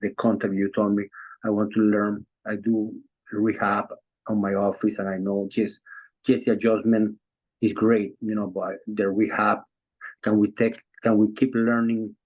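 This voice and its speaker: Chiropractor from Venezuela, male; low even voice, hesitant with lots of fillers. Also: unhurried, highs cut off. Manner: hesitant, many fillers and restarts. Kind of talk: casual video call chat. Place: Florida.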